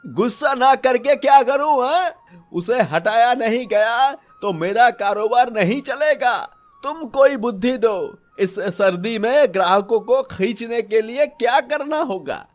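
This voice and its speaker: A man's voice: very high-pitched, expressive, unhurried, faint music behind. A man playing a bitter, scheming, envious vegetable seller in a cartoon story, with a grumbling, aggrieved manner. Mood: angry, scheming.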